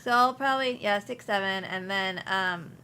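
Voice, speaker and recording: A woman's voice: medium pitch, normal speed, sharp tone, clean tone. A young woman from Houston, speaking casually as an interview guest, with many ums and restarts. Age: young adult. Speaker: insurance agency principal, guest.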